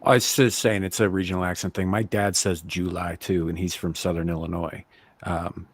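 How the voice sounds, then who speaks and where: Deep American man, close dry sound. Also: flat delivery, normal speed, dry recording. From United States, Wyoming. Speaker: panelist. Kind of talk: casual explaining chat.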